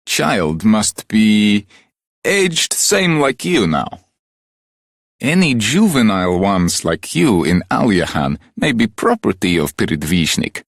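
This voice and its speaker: Expressive villager, husky voice. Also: male voice, lowish voice, no echo, studio quality, heavily acted. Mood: earnest. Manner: halting formal phrasing, earnest and emphatic. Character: earnest village man speaking broken, foreign-styled English.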